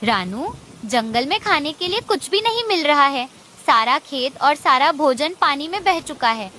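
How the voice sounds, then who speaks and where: A small female cartoon bird, played by a woman, speaks in a high, bright voice. She is earnest and imploring, a hungry creature in a children's tale, with faint noise behind her. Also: big pitch swings, unhurried, heavily acted. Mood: pleading. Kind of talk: animated children's moral story dialogue.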